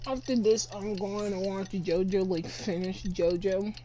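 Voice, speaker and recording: A teenage girl reacts to a game in casual, slangy chat. Her voice is soft-edged and relaxed, she takes her time, and there is faint noise in the recording. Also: mid-range voice, measured pace. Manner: slangy, offhand. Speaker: game streamer.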